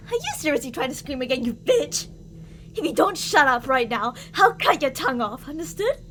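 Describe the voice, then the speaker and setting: A woman's voice: sits high, sing-song, fairly quick, a bit bright. A woman playing an obsessively jealous, murderous stalker lover in an ASMR roleplay, theatrical and possessive, swinging between coaxing and menace. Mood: furious threat. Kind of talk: ASMR girlfriend roleplay acting. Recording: audible background noise.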